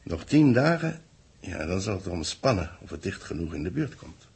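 A man acting a worried official in a 1960s Dutch radio play, speaking in a measured, formal way with a gravelly, husky voice. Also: deep voice, big pitch swings, darkish tone, full character voice. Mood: grave, pondering. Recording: muffled-edged vintage 1960s radio recording.

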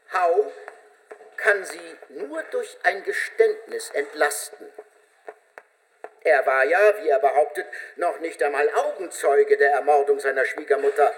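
Male narrator for radio play: slightly high voice, measured, literary, scripted storytelling. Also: rough recording.

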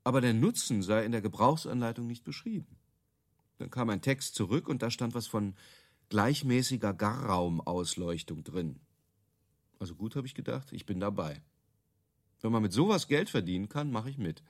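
A man's voice: fairly low pitch, animated, normal speed. A male actor playing a self-assured, cynical inventor of useless features, explaining himself wryly as an interviewee. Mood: amused storytelling. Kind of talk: conversational radio drama acting.

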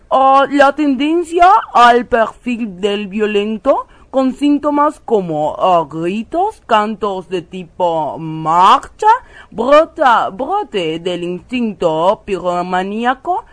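An actress plays a French woman scientist in a radio play, with a raised pitch and a French accent. She speaks slowly and formally, hesitating often as she delivers solemn pseudo-science. The sound is dry and close. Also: sing-song, dry recording. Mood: solemn lecturing. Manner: halting, hesitant, formal lecturing. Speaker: interviewed expert guest. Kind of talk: satirical radio theatre interview.

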